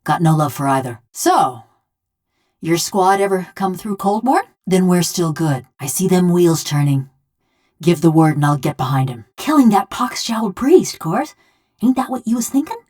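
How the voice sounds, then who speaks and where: A woman voicing a bitter, sardonic construct woman in a video game, a rough rural killer who talks in folksy, ungrammatical, taunting speech. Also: on the low side, lively intonation, normal speed, darkish tone. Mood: wry, curious. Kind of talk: video game character dialogue.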